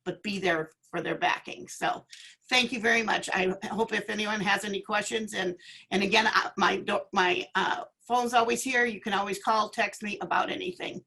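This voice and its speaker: Woman talking to a panel, gravelly and kinda sharp, encouraging and emphatic. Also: medium pitch, steady tone, normal speed. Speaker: association leader, panel speaker. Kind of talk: informal panel speech.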